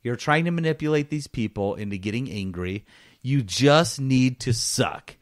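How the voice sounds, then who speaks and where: A man chats casually as a gaming podcast co-host. His voice sits at a middle pitch, and he talks in slangy, joking mock instructions. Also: animated, moderate pace.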